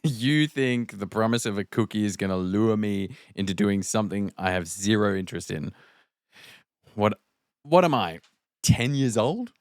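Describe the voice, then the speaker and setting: A sardonic young slacker, read by a man teases his buddy in a comedy table read. The delivery swings widely in pitch and stays easygoing and sarcastic. The sound is dry. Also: lively intonation, moderate pace, no echo, lightly acted. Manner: teasing, sarcastic.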